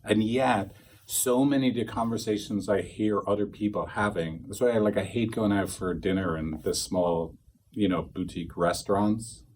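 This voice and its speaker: A man with a fairly low voice teaches a small group of therapists in a loose, rambling way. He keeps slipping into acted snippets of quoted conversation. Faint noise sits under the recording. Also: normal speed, rough recording. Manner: rambling, animated, acts out quoted dialogue. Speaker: couples therapist trainer.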